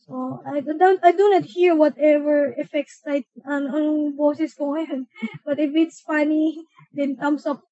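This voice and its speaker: A man's voice: very high-pitched, steady tone, measured pace, warm tone, no echo, dull audio, voice pushed up. A man with a Filipino accent whose voice is run through a male-to-female voice changer, testing it casually. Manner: amused, testing the effect.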